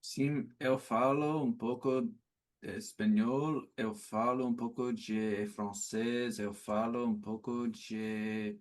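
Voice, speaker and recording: Hesitant man answering slowly. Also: medium pitch, steady tone. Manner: hesitant, with many fillers and pauses. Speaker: language learner being interviewed. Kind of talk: casual interview answers.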